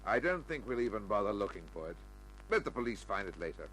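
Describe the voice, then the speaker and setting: A man's voice: lowish voice, big pitch swings, fast, fairly bright tone, slight background noise, poor audio. An actor playing a cold, curt, menacing gunman in a 1950s radio crime drama. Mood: cold menace. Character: cold, menacing male crook with a gun.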